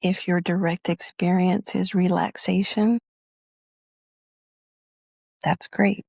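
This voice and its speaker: A woman teaching guided meditation in a measured, instructional way, leaving long pauses between phrases. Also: fairly low pitch, little pitch movement, gravelly, a little mellow, slightly rough, no room sound.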